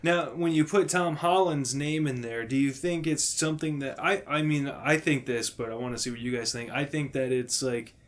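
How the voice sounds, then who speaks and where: A man's voice: mid-range voice, normal speed, hardly any pauses. A man co-hosting a podcast, talking in a casual, bantering way with friends. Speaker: podcast co-host. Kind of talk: casual, bantering group chat.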